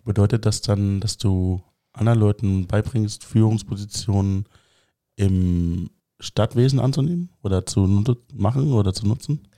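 A man's voice: fairly low pitch, moderate pace, dark tone, no room sound, studio quality. A man hosting an interview who asks curious, short follow-up questions in a casual way.

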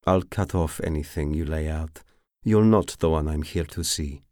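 A man's voice: very deep voice, normal speed, a little mellow, dry recording, clean studio sound. A stern, weathered woman who leads a crew in a fantasy tale, voiced by a male narrator. She speaks coolly, with treacly menace, and is never impressed. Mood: cool, threatening.

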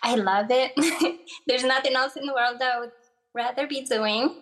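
Giggly Moroccan-accented woman, fairly high. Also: steady tone, normal speed, a bit bright. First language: Arabic. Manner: giggly, playful. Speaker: guest. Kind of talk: casual interview chat.

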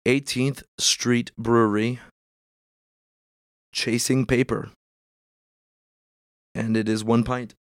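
Congested man with a gravelly voice. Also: medium pitch, flat delivery, slow pace, long pauses, no echo, studio quality. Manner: rambling, filler-heavy. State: congested, losing his voice from allergies. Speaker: podcast host. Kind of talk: casual chat.

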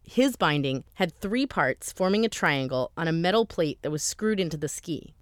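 A woman's voice: low voice, animated, moderate pace, no echo, studio quality. A woman host giving scripted documentary narration in a conversational, lightly wry way.

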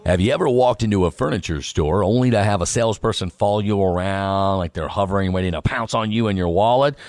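A man reading a scripted radio advertisement with a smooth, persuasive delivery. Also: on the low side, quick pace, a little mellow. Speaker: ad announcer.

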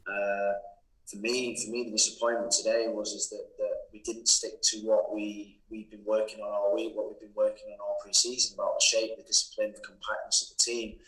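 A man speaking as a soccer coach, giving firm, deliberate post-match remarks in a clip played on the show. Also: on the low side, little pitch movement, fairly bright tone, roomy sound, low-quality recording.

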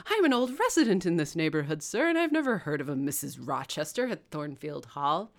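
A woman's voice: sits low, sing-song, fairly quick. A woman playing an older male clergyman who speaks in raised, exclaiming tones. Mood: indignant.

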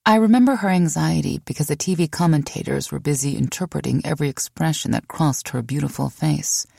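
A woman reading a memoir as an audiobook narrator, in a measured, expressive storytelling manner. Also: sits low, gravelly, breathy edge, dry recording, clean studio sound.

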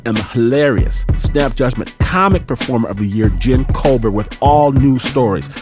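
Male podcast host: medium pitch, theatrical radio announcer phrasing with rhythmic flair, clear hip hop bed underneath. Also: animated, moderate pace. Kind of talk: showman-like radio announcing over music. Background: hip hop music.

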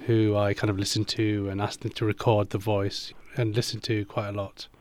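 A man's voice: fairly low pitch, flat delivery, a little fast, a little mellow. A man, an actor, modestly explaining how he prepared an accent for a role. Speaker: actor, guest. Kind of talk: interview answers.

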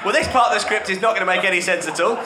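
High-voiced bloke hosting a comedy quiz, teasing and quick, roomy room, loud noise behind. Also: male voice. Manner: brisk, teasing, often breaks into laughter. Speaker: quiz host. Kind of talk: hosting a comedy game show.

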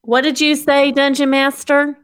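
A woman's voice: high-pitched, little pitch movement, a little slow, fairly bright tone, no echo, studio quality. A woman playing a tabletop game, joking theatrically and swearing in character. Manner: theatrical, swearing, exaggerated complaints. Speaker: player.

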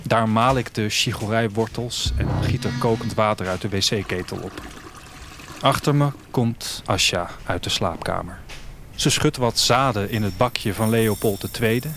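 A man playing a restless, wistful traveller, narrating his story in a reflective, measured literary audio drama style. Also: lowish voice, fairly bright tone, slight background noise. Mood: subdued, wistful. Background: music.

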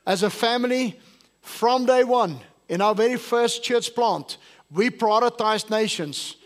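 A man's voice: very high-pitched, unhurried. A male pastor, whose first language is not English, preaching a sermon to his congregation and asking them questions. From South Africa. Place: church service.

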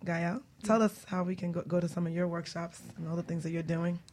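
A woman's voice: on the low side, steady tone, a little fast, crowd noise. A woman hosting a casual radio interview in an effusive, joking way. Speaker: radio show host, life coach.

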